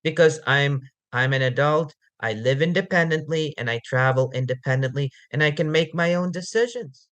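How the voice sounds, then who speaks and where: A man in his forties speaking slowly and deliberately about his own experience, pausing between phrases. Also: a little high, a bit bright. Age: 40s. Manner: slow, deliberate, halting. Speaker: interviewee. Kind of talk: personal testimony.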